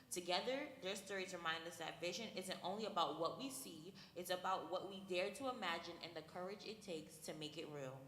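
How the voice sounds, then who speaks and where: A woman's voice: lowish voice, a little fast, few pauses, fairly bright tone. A woman acting as talk show host in a church skit, reading a script with small slips. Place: church hall. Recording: echoey hall with long reverb, faint noise.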